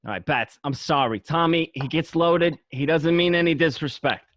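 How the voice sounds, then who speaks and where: A man's voice: a little high, moderate pace, a bit bright, lightly acted. A man acting an anxious, placating gangster who keeps the peace, in an amateur radio play. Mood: apologetic. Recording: dull lo-fi home recording.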